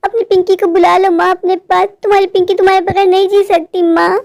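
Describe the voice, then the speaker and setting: A woman's voice: very high voice, level tone, quick pace, creaky, darkish tone, dry recording, clean studio sound. A woman voicing a hungry, sickly, heartbroken little stepdaughter in a melodramatic cartoon story, pleading and plaintive. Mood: tearful, despairing.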